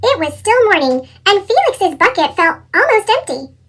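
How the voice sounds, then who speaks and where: A woman reading a children's storybook aloud in an animated style, her voice sped up with a chipmunk effect. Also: normal speed. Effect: sped-up chipmunk pitch shift. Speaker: storybook reader.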